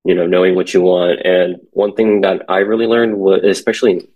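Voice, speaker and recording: Podcast guest: young man, very low husky voice, relaxed and halting, lots of ums. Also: lo-fi sound. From born in Korea, raised in San Francisco Bay Area. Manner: hesitant, many fillers, relaxed. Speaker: guest, commercial real estate associate. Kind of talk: casual podcast conversation.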